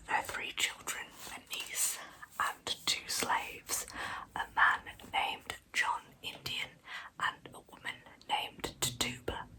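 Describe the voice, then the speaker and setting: Slow whispering woman, ASMR storyteller. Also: poor audio. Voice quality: breathy, unvoiced whisper. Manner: soft, deliberate, slow delivery. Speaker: ASMR video host and narrator.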